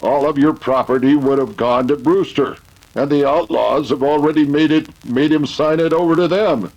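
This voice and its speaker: A man playing a calm, commanding cowboy hero in an old-time radio western. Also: a little high, moderate pace, lo-fi sound, lightly acted. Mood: assured.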